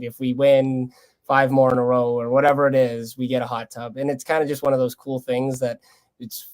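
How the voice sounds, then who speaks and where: A man's voice: medium pitch, darkish tone. A young man with a Canadian accent answering casually as an interviewed athlete, earnest and loosely conversational. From Lethbridge, Canada. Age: young adult. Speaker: college baseball player being interviewed.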